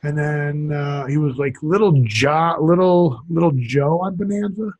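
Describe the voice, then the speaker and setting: A man's voice: on the high side, a little slow, a little mellow. A man co-hosting a podcast, talking in a joking, rambling, wry way. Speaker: podcast co-host. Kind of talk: casual chat.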